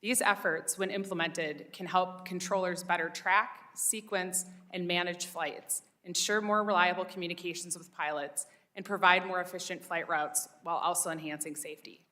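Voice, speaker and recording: Female expert witness, slightly low register, crisp bright tone, formal scripted statement. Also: steady tone, normal speed, few pauses. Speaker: expert witness giving testimony. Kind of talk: formal prepared testimony read aloud.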